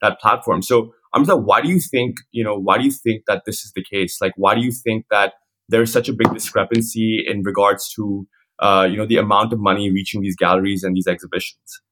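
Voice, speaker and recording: A man's voice: on the low side, fairly even intonation, fairly quick, a bit bright, rough recording. A man in his twenties co-hosting a podcast in a conversational interviewing style, wordy and often repeating his phrases. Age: 20s. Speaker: podcast co-host.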